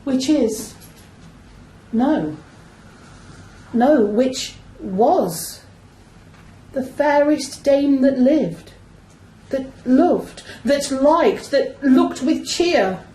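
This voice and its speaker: A woman's voice: high voice, lively intonation, dark tone, exaggerated voice. Woman as hammy lovesick hero in a shakespeare radio play, drags every line out, echoey room. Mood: grieving, overwrought. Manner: declamatory, drawn-out, overwrought verse delivery. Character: lovestruck melodramatic male amateur actor hamming a tragic hero.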